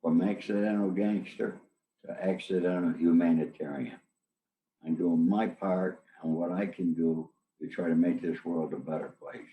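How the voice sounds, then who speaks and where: A man's voice: low voice, steady tone, moderate pace, narrow, tinny audio. An older American man from upstate New York telling his own story in plain, colloquial conversation as an interview guest. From upstate New York, USA. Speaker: interview guest, former mobster. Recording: echoey room with faint noise.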